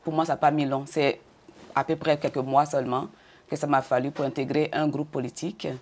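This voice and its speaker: An older woman from Côte d'Ivoire answering interview questions in a measured way, often repeating words as she goes. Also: sits low, level tone, darkish tone. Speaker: interview guest.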